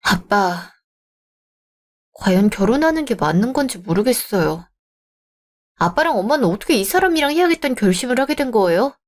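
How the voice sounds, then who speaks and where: A young bride-to-be, voiced by a woman in a radio drama, speaking in a raised, higher-pitched voice. She is polite and measured, but she holds her ground. She speaks her mind without raising her voice. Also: lively intonation, close to an everyday voice. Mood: troubled.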